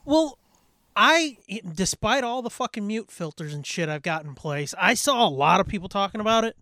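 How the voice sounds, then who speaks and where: A man with a high-pitched voice chats about films as a podcast co-host. He is blunt and opinionated, cursing freely as he makes his points. The recording is tight and dry, without room sound. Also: animated, moderate pace, sharp tone, no echo. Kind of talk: casual, opinionated film chat.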